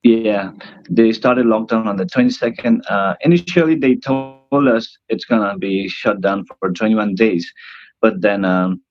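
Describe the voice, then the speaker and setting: Low-voiced tired man from northern India. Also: measured pace, dry recording, muffled recording. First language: Nepali. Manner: hesitant, many uh fillers. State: tired, worn voice. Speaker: cruise ship band singer. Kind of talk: casual interview chat.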